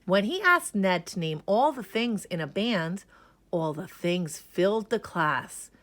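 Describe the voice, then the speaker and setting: Animated woman reading a rhyming children's story. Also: medium pitch, unhurried. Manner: rhythmic, dramatic emphasis on key words. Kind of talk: expressive children's read-aloud.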